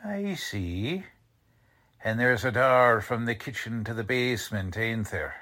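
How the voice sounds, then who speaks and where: A man playing a shrewd, suspicious investigator in a 1940s-style radio drama, questioning deliberately in colloquial, ungrammatical speech. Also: medium pitch, lively intonation, sharp tone. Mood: suspicious, probing. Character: shrewd streetwise male investigator, quietly suspicious.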